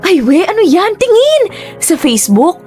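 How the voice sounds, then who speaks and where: A woman playing a young computer shop attendant who is nosy and bossy, with a brash delivery and quick questions. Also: very high-pitched, big pitch swings, a little mellow, soft background music. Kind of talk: radio drama acting.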